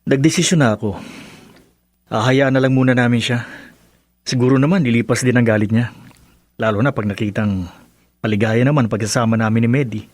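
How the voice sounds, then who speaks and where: An actor playing an earnest, devoted young husband and dutiful son in a radio drama, gentle and conversational, with a Filipino accent. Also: male voice, medium pitch, fairly quick, long pauses, dry recording, natural voice. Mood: fond, resigned.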